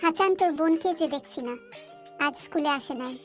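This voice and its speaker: A woman's voice: high voice, moderate pace, faint music behind, natural voice. A woman voicing a chatty, carefree young schoolgirl in a cartoon story.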